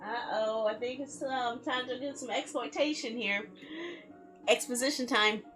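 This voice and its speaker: A woman game streamer reading a visual novel aloud in a theatrical, expressive way, with playful asides. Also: on the high side, measured pace. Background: background music.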